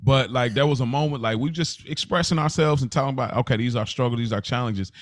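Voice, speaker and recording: A man's voice: mid-range voice, fast talker, no room sound, clean studio sound. A man speaking casually and earnestly in informal slang, telling personal stories as a podcast co-host. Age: adult.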